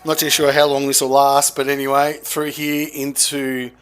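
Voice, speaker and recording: A man commentating a hot lap on an online racing broadcast, brisk and cheeky. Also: medium pitch, level tone, a bit bright, clean tone. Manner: brisk, cheeky and matter-of-fact.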